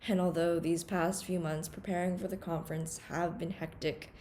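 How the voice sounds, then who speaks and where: A teenage woman from Hong Kong delivering a prepared welcome speech at a student conference in an earnest, formal, measured way. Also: fairly low pitch, flat delivery, warm tone, a little hiss or hum. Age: teens. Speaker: conference undersecretary general giving a welcome speech.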